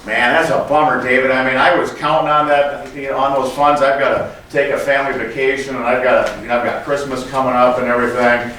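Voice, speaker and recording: A man's voice: mid-range voice, fairly even intonation, quick pace, hardly any pauses, fairly bright tone, natural voice. A man playing a scheming corporate finance chief in a comedy skit, chummy and coy, hinting hesitantly and feigning innocence. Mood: feigned dismay. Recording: echoey room, distant mic, background noise.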